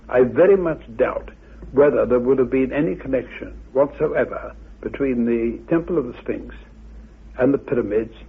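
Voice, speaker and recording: A man with a very husky, gravelly voice explains his subject like an expert. He is formal, precise and measured, on a narrow, muffled radio recording. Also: mid-range voice. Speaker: expert commentator. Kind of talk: explanatory talk.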